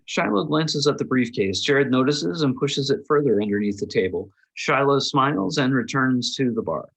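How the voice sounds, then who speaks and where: Husky man reading stage directions. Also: medium pitch, lively intonation, normal speed, fairly bright tone, low-quality recording. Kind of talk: scripted narration at a table read.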